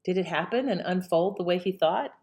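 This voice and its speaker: A woman's voice: on the low side, fairly quick, a little mellow. A woman presenting a scripted introduction to a programme.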